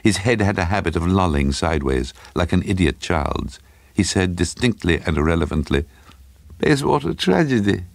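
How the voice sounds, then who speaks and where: Casting: male narrator for dark literary fiction. Bass-leaning, heavy fry, scripted dramatic delivery. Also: moderate pace, dry recording.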